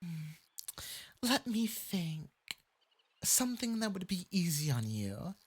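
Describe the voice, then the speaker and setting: A performer with a light, breathy voice playing a clingy, lovestruck fox-spirit femboy mage in an intimate ASMR roleplay, coaxing sweetly and possessively. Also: mid-range voice, takes it slowly, exaggerated voice. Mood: possessive, calm. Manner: sweetly coaxing, possessive, swinging into eager excitement.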